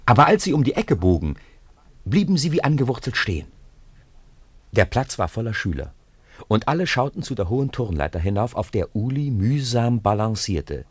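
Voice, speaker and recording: A man's voice: mid-range voice, very animated, normal speed, husky, no echo. A man narrating a scripted story for a radio play.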